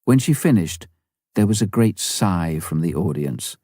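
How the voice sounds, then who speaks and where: A man reading an audiobook as scripted narration, with measured, clearly phrased delivery. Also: low voice, sing-song, mellow, no echo, clean studio sound. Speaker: audiobook reader.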